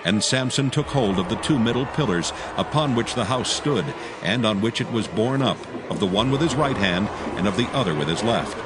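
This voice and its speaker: Low-pitched solemn male scripture narrator. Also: animated, brisk, few pauses, very noisy.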